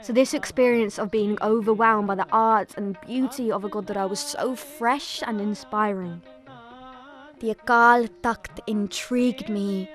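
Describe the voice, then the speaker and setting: A woman's voice: medium pitch, natural voice. A woman playing an earnest young pilgrim who narrates a family tragedy in a scripted audio drama.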